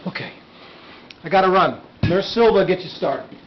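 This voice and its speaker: An actor playing a brisk, world-weary senior emergency room doctor in a game drama. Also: male voice, sits high, lively intonation. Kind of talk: acted medical drama dialogue. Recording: muffled game video, faint noise.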